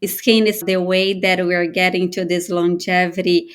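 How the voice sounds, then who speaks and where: A woman in her thirties from Brazil, with a Brazilian accent, giving earnest, measured answers as a guest in an interview. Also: lowish voice, little pitch movement. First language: Portuguese. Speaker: guest, biotech founder.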